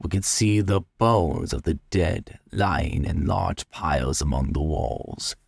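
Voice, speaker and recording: A man narrating a literary tale in the first person, deliberate, theatrical and brooding. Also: deep voice, gravelly, a little mellow, husky, dry recording, clean studio sound. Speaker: story narrator.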